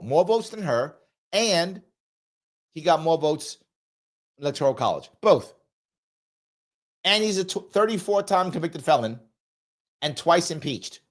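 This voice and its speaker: A man hosting a political talk show, reading out viewer comments and answering them bluntly, repeating his points, with a cough he is getting over. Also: on the high side. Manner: blunt, emphatic, repeats himself for emphasis. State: lingering cough.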